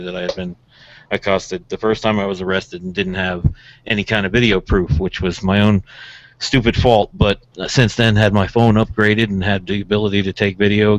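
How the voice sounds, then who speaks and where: Low-voiced American man hosting casual radio. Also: a little hiss or hum. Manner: informal, rambling storytelling. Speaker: radio show host. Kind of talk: casual radio show chat. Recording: dull, lo-fi audio.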